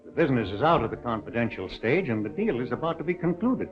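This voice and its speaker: Male banker, acted, 1930s crime drama: nervous, polite, animated low voice, dull soundtrack with faint music. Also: fast, lightly acted. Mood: uneasy. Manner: hesitant, courteous.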